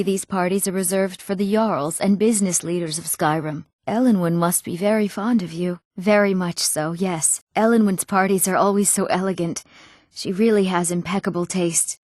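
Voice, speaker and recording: A woman's voice: mid-range voice, a little mellow, no room sound, studio quality, natural voice. A young woman playing an earnest, proper young townswoman and noblewoman in a fantasy video game, speaking politely and formally with careful enunciation. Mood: polite, pleased. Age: young adult. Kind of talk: scripted fantasy game dialogue.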